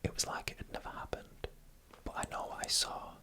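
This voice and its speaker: A narrator reading scripted stories in an ASMR style, in a breathy, unvoiced whisper with hushed storytelling delivery. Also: a little fast.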